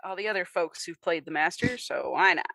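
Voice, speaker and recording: A woman's voice: fairly low pitch, expressive, a little fast, creaky, bright, forceful tone, no echo. A woman co-hosting a podcast, chatting casually. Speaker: podcast co-host. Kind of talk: casual chat.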